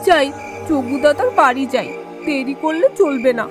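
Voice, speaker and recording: An anxious young-sounding village wife, voiced by a woman, speaks in a high, plaintive voice. She sounds alarmed and pleading, as in a horror cartoon. Music runs behind her words. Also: normal speed, natural voice. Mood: suspicious, fearful. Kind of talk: animated horror story acting.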